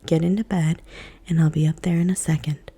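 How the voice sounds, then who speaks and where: A woman whispering as a soft-spoken worried mother. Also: sits low, little pitch movement, a little fast, breathy edge. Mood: soothing. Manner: soft, soothing. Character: gentle worried mother of two.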